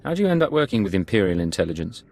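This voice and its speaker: A man's voice: lowish voice, very animated, racing, darkish tone. A man playing a cool, confident imperial secret agent in a sci-fi video game, with clipped, assured delivery. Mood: cool, probing. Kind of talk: scripted video game dialogue.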